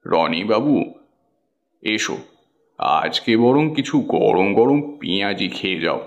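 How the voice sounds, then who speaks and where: Man playing a kindly, very expressive village uncle. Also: fairly low pitch, slow pace, muffled recording. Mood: gentle. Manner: earnest, emotive. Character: kindly, pleading village snack-stall uncle. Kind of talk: cartoon horror story acting.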